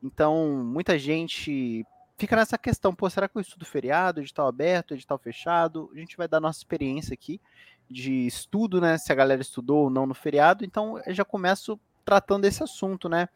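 Man, podcast host, casual slangy chat, slightly high voice, dry close sound. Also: brisk, dry recording. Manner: relaxed, slangy, with loose restarts.

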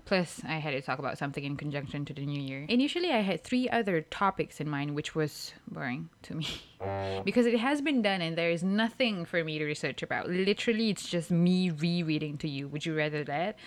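A woman, hosting a solo podcast in a chatty, jokey way with quick self-mocking asides. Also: deep voice, very animated. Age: 30s. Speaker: podcast host. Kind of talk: casual solo podcast monologue.

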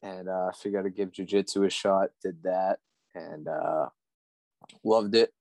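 A man's voice: deep voice, fairly even intonation, measured pace, creaky, darkish tone, no echo. A man in his twenties from Massachusetts, a guest on a podcast, easygoing and self-deprecating, with lots of fillers. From Massachusetts, USA. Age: 20s. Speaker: podcast guest, amateur fighter. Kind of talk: casual interview talk.

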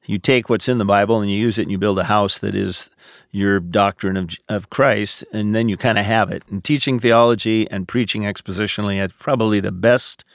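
A man's voice: fairly low pitch, steady tone, a little fast, vocal fry, dry recording, clean studio sound. A middle-aged or older man, a pastor, explaining things in a casual, teacherly podcast style. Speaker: pastor and theology teacher answering questions.

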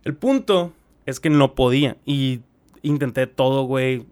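Brief: Mexican co-host, mid-pitched voice, crude slangy banter that gets animated in retellings. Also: male voice, unhurried. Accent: Mexican Spanish. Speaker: podcast co-host. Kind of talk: casual chat.

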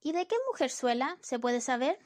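A woman's voice: sits high, moderate pace, close to an everyday voice. A woman acting a flippant, bossy wife who nags her husband, in an amateur radio play. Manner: flippant, nagging. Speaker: student radio drama actor.

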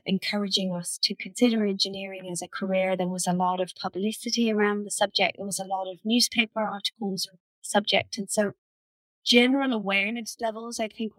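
A woman's voice: medium pitch, no room sound, rough recording. A woman in her fifties with an Irish accent, giving conversational answers as a panel guest on a video call. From Ireland. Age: 50s. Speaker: panel guest, engineering director.

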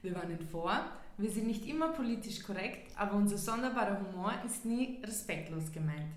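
A woman's voice: medium pitch, animated, brisk. Woman co-hosting a podcast, echoey room. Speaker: podcast co-host. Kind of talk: casual podcast chat. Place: podcast studio.

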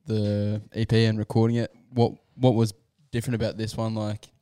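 A man's voice: on the low side, level tone, moderate pace, dark tone, clean tone, no room sound, studio quality. A man in his thirties with an Australian accent, co-hosting a casual podcast interview and asking rambling, restarting questions. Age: 30s. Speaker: podcast co-host.

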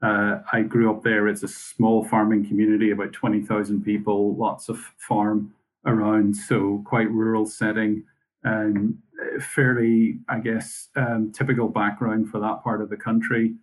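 A man from Northern Ireland with a Northern Irish accent, a guest telling his story in a measured, matter-of-fact way over a video call. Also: on the low side, little pitch movement, rough recording. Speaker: mission worker, guest. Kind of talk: conversational interview answers.

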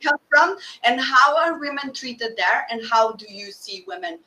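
Bright-voiced woman host, chatty and animated, echoey room, a bit far off. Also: sits high, a little slow. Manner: lively, expressive, animated retelling. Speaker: podcast host. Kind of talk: conversational podcast interview. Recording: echoey and distant.

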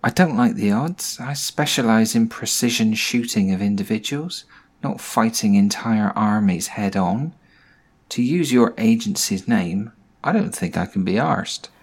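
Man playing a low-voiced, blunt hired assassin. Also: lively intonation, measured pace, husky, full character voice. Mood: blunt, unimpressed. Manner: dry, blunt, flippant. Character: blunt, mercenary, sarcastic assassin for hire. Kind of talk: comedic scripted audio drama acting.

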